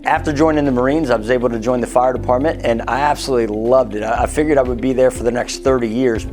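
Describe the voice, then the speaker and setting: A man giving an upbeat, persuasive pitch as an insurance agency leader. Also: steady tone, fast, darkish tone. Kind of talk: promotional testimonial. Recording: background music.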